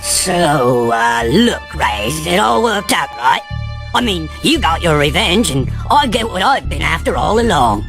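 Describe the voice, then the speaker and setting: A man playing a sly, self-serving creature prince in a video game, a chummy, smooth-talking schemer. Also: a little high, big pitch swings, measured pace. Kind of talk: cartoon video game acting. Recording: music behind.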